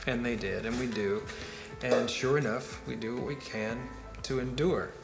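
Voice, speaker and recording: A man's voice: medium pitch, fairly even intonation, normal speed, vocal fry, natural voice. Man playing a fatalistic rambling philosopher, roomy sound. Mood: musing. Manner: conversational lecturing, emphatic repetition, builds argument step by step. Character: rambling, fatalistic male party guest holding forth philosophically. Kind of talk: naturalistic film monologue. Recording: roomy film dialogue with music behind. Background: music.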